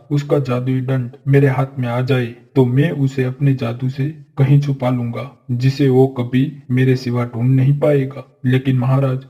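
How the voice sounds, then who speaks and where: A man acting a noble, earnest figure of a fairy-tale royal court, speaking formally and solemnly in an animated story. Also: mid-range voice, husky, natural voice.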